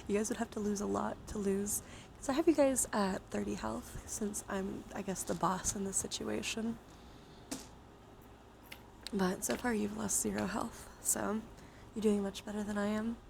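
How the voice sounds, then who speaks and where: A woman hosting a live ASMR stream, speaking softly and gently to viewers and often slipping into whispers. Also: mid-range voice, steady tone, a little slow, gravelly, long pauses, a little hiss or hum.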